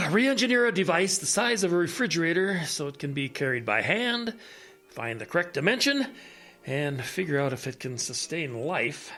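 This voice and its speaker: A man's voice: on the high side, sing-song, moderate pace, bright, forceful tone. An actor playing a blinded, absent-minded scientist major, explaining hesitantly as he thinks aloud in an audio drama. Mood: earnest.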